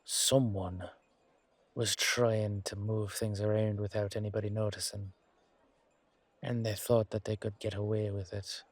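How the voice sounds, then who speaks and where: A man playing a weary, down-to-earth knight guardsman in a fantasy audio drama, musing colloquially to his partner with hesitant pauses. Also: fairly low pitch, flat delivery, no echo. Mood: thoughtful, suspicious. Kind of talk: roleplay audio drama monologue addressed to a partner.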